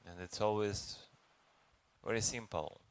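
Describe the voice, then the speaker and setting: A man leads a guided meditation as a teacher, speaking gently and unhurriedly with long pauses. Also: fairly low pitch, steady tone. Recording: noisy recording with ambient background sound.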